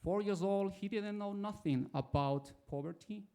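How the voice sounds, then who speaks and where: A man from Ecuador, an audience member who introduces himself formally and tells a story in a measured way before his question. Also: sits high, mellow, clean tone.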